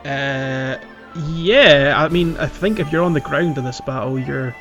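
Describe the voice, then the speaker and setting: A man co-hosting a casual video game podcast, recapping with animated, dramatic emphasis. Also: medium pitch, measured pace. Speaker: podcast co-host. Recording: music playing under the voice. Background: background music.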